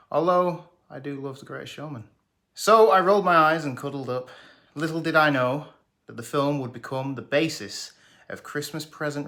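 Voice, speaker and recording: A man's voice: mid-range voice, pushed and bright. A man, presenting a scripted, jokey film review. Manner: jokey, sarcastic, enthusiastic. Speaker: movie reviewer.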